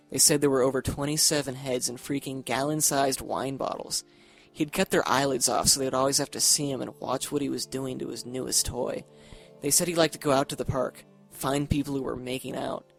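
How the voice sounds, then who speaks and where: Teasing young boyfriend, music underneath. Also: male voice, mid-range voice, gravelly. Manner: eager, teasing storyteller. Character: teasing young boyfriend telling a lurid scary tale. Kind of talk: casual dialogue in audio horror drama.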